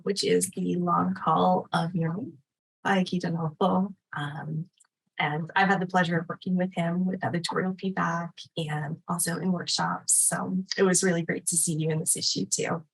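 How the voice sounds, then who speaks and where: A woman's voice: fairly low pitch, vocal fry, breathy edge, low-quality recording. A woman hosting a reading event informally, appreciative and a little hesitant as she introduces readers.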